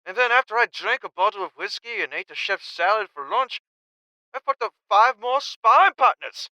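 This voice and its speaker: A brash champion fighter, acted by a man in an audio drama, with a high, very sharp and forceful voice. He is cocky and blunt, swinging through his pitch in a dry, close recording. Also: animated, normal speed, dry recording, full character voice, rough recording. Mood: boastful, worked up. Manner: loud, cocky, blunt, swearing. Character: brash, foul-mouthed, hard-drinking male champion fighter.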